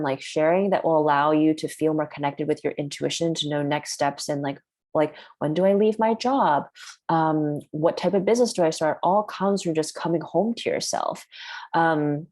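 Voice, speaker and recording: A woman's voice: low voice, dark tone. A woman whose first language is Chinese, hosting a workshop in a chatty way, with lots of "like". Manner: chatty, heavy use of "like". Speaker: workshop host. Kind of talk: casual workshop talk.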